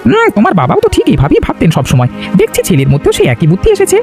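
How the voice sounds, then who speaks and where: A man's voice: sits high, huge pitch swings, rapid-fire, a little mellow, exaggerated voice. A man playing a kindly, approving older village uncle in cartoon dialogue. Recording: faint music behind the voice.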